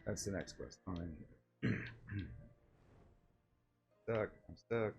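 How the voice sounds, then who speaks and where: A man's voice: deep voice, very slow, darkish tone, lo-fi sound, soft background music. A man giving casual commentary as he streams his playthrough of a game.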